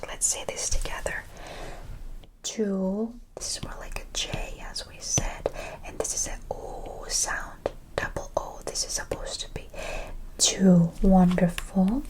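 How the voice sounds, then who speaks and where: A woman giving a slow, whispered ASMR Korean lesson, softly encouraging and praising often, close to the mic. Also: medium pitch, low-quality recording. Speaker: Korean language teacher.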